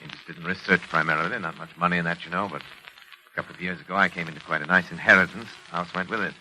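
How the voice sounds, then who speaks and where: Male doctor: low, very crisp-edged voice, clinical and assured, vintage radio drama. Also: steady tone, sharp tone, soft background music. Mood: genial, assured. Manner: measured, formal, clinical. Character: composed, probing male doctor, reassuring and professional. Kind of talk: 1940s radio acting. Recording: noisy old 1940s radio recording.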